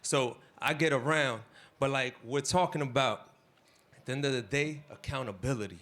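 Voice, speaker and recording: A man's voice: medium pitch, normal speed, a bit bright. A man from New Jersey speaking up from the audience at a community panel, loose and rambling, swearing freely. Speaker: audience member. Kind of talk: casual speech at a community panel.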